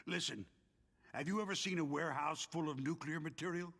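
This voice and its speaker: An actor playing a weary, cynical arms-industry boss in a video game cutscene, explaining himself in a halting, emphatic way. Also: male voice, on the high side, a bit bright. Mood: grave, lecturing. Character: weary male arms-industry boss, tortured, stammering, cynical, self-justifying.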